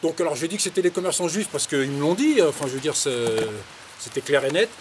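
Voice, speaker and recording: Fifties Frenchman rambling over clear hiss. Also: a little high, animated, fast. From Paris suburbs, France. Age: 50s. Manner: hesitant, rambling, colloquial. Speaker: interviewee, farmer. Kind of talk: casual autobiographical interview, recounting anecdotes.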